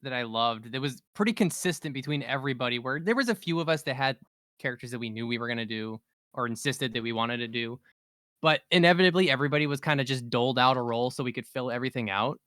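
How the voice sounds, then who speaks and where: A man's voice: medium pitch, quick pace, sharp tone, no echo. Man chatting casually, dry close sound. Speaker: cast member on a group commentary. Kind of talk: casual chat.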